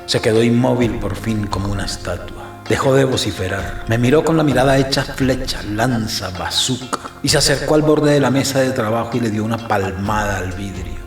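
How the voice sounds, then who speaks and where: A man's voice: medium pitch, a little fast, hardly any pauses, close to an everyday voice. An actor playing a shaken priest who narrates his confession in a dramatic first-person style. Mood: agitated. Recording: roomy echo, music underneath. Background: music.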